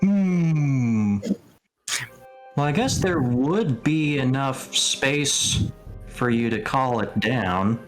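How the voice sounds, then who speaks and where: A woman's voice: a bass voice, animated, drawn-out words, soft background music. A woman acting as game master, casually narrating and answering players in a tabletop game.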